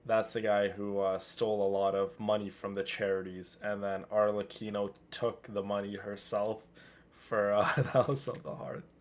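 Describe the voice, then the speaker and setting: A man's voice: fairly low pitch, flat delivery, moderate pace. A man giving casual live commentary over a video game, talking to his viewers. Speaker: game streamer.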